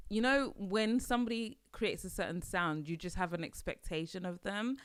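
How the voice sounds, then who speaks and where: A woman's voice: fairly low pitch, clear, resonant tone, no echo, clean studio sound. A young adult woman from Nigeria hosting a podcast, chatting casually and musing aloud with hesitant restarts. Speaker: podcast host. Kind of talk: casual solo podcast chat.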